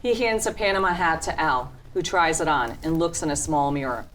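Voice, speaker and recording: Female stage-direction narrator, very low voice, scripted delivery, faint noise behind. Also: animated, a little fast, fairly bright tone, rough recording. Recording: faint noise and room ambience.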